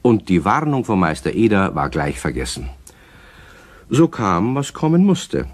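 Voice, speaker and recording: A man playing the narrator of a children's radio play, telling the story expressively to young listeners in a husky voice. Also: fairly low pitch, sing-song, a little slow. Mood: storytelling. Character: friendly male storyteller of a children's tale.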